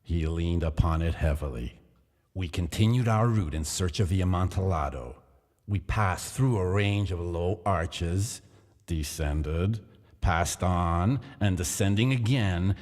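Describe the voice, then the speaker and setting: A man narrating a gothic horror tale in a measured, theatrical reading. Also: low-pitched, darkish tone. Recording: long hall reverb.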